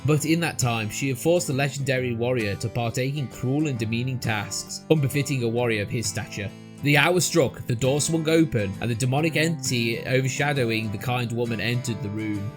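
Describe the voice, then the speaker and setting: A man's voice: medium pitch, fairly quick, soft background music. A man reading a scripted storybook tale as narrator, in a theatrical, mock-epic manner.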